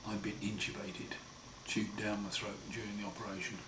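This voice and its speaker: A man with a croaky, hoarse voice after throat surgery, talking slowly and wryly in a casual home video diary. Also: on the low side, level tone. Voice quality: hoarse, partly breathy. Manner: slow, wry, plain-spoken, often pausing. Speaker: patient sharing his recovery. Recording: roomy echo, far mic, noisy.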